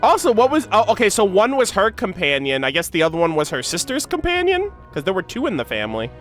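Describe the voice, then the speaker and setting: Animated, slangy woman reacting, faint music. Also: medium pitch, fast talker. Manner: effusive, slangy, playfully emphatic. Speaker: video game reaction streamer. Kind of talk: casual excited live reaction commentary. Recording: background music.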